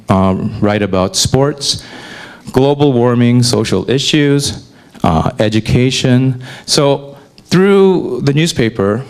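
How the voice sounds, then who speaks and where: A man in his forties, raised in North America, gives a relaxed, conversational lecture to students and often pauses on "uh". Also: medium pitch, smooth. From Korea, raised in North America. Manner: relaxed and conversational, with frequent "uh" fillers. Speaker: newspaper chief editor giving a talk. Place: lecture hall.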